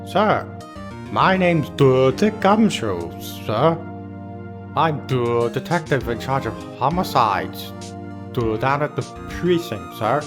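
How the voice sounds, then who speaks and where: Flustered, stammering detective, swooping pitch. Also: male voice, big pitch swings, takes it slowly, music in the background. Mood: nervous, eager. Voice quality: heavy stammer. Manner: deferential, nervous, hesitant. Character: flustered, bumbling male police detective. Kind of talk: video game dub voice acting.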